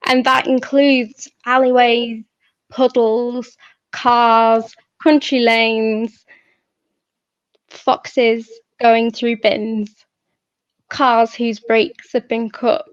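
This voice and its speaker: A woman hosting an event, talking reflectively and informally in a thoughtful, halting way. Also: fairly high pitch. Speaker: event host and poet. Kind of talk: reflective informal talk to an audience. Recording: echoey, slightly muffled sound.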